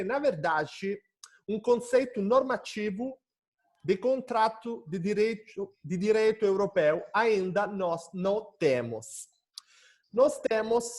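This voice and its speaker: A young Italian man gives a lecture in a fairly high voice, talking over a video call. He hesitates and searches for words as he goes, in a language that is not his first. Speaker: guest lecturer. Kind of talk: academic lecture.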